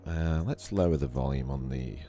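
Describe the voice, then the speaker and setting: A man with an extremely low voice, slightly hoarse from a cold, talks through his work in an easy, rambling way. He fills pauses with ums and uhs as he goes. Faint music plays behind him as he speaks into a desk mic. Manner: relaxed, thinking aloud with many fillers. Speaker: 3D artist streaming a modeling session. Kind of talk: casual live tutorial. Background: faint background music.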